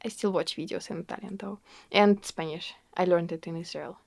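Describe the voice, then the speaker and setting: A woman's voice: fairly low pitch, fairly even intonation, brisk, creaky, a little mellow, no echo. A young woman with a Russian accent, earnestly answering interview questions in a casual way, filling her talk with "like" and "you know". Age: young adult. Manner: earnest, fillers like "like" and "you know", laughs often.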